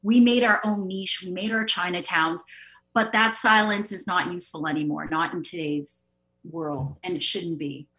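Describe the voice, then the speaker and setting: A woman's voice: on the low side, fairly even intonation, normal speed, bright, forceful tone, low-quality recording. A woman speaking emphatically and casually as a panelist in an impassioned discussion. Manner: emphatic, outspoken, casual swearing.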